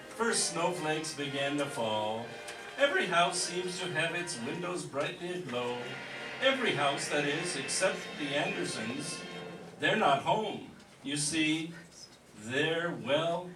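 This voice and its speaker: A man giving scripted narration for an old-time style radio drama. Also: on the high side. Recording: roomy, distant mic, music present.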